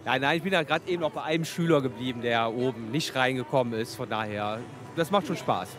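A man's voice: mid-range voice, quick pace. A man, a special-school teacher, answering interview questions hesitantly with many fillers.

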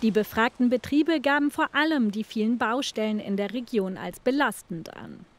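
A woman narrating a news item in a brisk, factual voice-over. Her voice sits slightly high. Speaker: reporter.

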